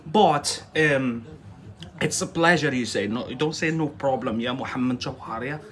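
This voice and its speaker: A man's voice: medium pitch, moderate pace, fairly bright tone. A young man, not a native English speaker, teaching English on a live stream, chatting casually with viewers, often laughing and tagging on "yeah?".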